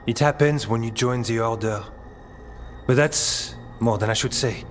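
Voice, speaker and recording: An actor playing an earnest, curious scholar-warrior in a video game, thoughtful and a little hesitant. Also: male voice, medium pitch, unhurried, a little hiss or hum, close to an everyday voice. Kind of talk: dramatic video game cutscene acting. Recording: clear music behind the voice.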